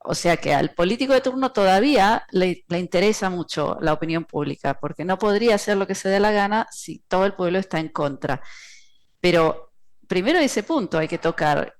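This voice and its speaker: A woman from Argentina, a financial analyst speaking as an interview guest in a measured, explanatory way with many hesitations. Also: medium pitch. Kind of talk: interview conversation.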